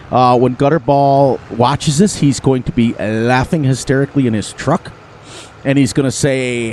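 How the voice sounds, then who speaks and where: A man's voice: mid-range voice, a little mellow, a little hiss or hum. Sniffly guy narrating his farm game, bouncy up-and-down voice, keeps laughing at himself. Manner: chatty, laughs often, playful. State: sniffly, runny nose. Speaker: gaming channel host. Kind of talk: casual gameplay commentary.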